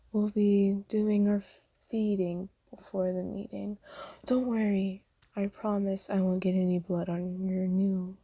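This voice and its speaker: A doting aristocratic vampire mistress, played by a woman for an ASMR roleplay. She speaks softly, often in a half whisper, at a low-key, unhurried pace with very little rise and fall. Her tone is dark and velvety, gently formal and coaxing, recorded close and dry. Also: breathy edge, dry recording. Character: kind, doting aristocratic female vampire owner.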